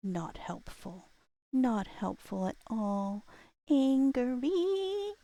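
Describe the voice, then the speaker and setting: A woman chats casually as a livestream host, rambling and playful as she mutters to herself. Her pitch moves around a great deal, often dropping into vocal fry. The sound is close and dry. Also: very animated, drawn-out words, dark tone, no room sound. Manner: rambling, talks to self while working, playful.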